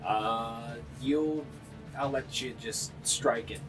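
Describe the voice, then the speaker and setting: Low-voiced man running a tabletop game. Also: slow, poor audio. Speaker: game master. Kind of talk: narrating a live tabletop roleplaying game. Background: background music.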